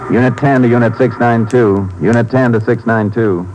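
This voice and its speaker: An actor playing a calm, courteous, businesslike Texas Ranger in a vintage radio drama. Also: male voice, mid-range voice, moderate pace, a little hiss or hum, lightly acted, rough recording. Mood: brisk, commanding. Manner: terse, courteous, matter-of-fact. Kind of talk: 1950s radio drama acting.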